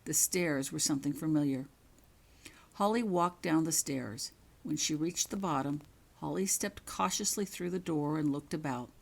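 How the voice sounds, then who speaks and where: A woman reading her own fiction as a scripted, dramatic story narration, in measured, suspenseful short phrases. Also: low voice, creaky, darkish tone, breathy edge.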